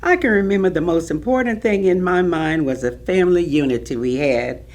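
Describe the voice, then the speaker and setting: A woman's voice: on the low side, fairly quick, a little mellow, lightly acted. A woman playing a poised grandmother in a staged reading, recalling family life in a composed, articulate manner. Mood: fond, composed. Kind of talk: staged reading of oral history.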